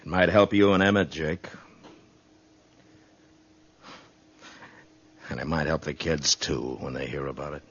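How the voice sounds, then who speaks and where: An actor playing a stern, steady frontier marshal in a 1950s radio western, speaking in a blunt, measured, authoritative way. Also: male voice, rumbling low, a little hiss or hum. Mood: calm, firm. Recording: dull vintage radio recording.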